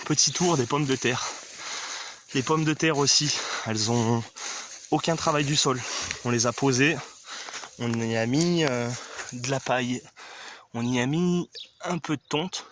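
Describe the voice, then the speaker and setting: An enthusiastic man with a mid-range voice talks casually and emphatically as he hosts a garden tour. His commentary is unscripted and informal. The outdoor recording carries clear background noise. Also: medium pitch, fairly bright tone. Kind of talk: casual improvised vlog commentary.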